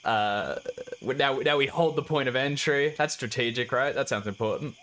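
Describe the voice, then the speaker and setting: A young man talks as a player in a tabletop roleplaying game, planning casually over a video call. His voice sits at an average male pitch. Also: moderate pace, fairly bright tone, slight background noise. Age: young adult. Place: small room at home. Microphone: video call setup.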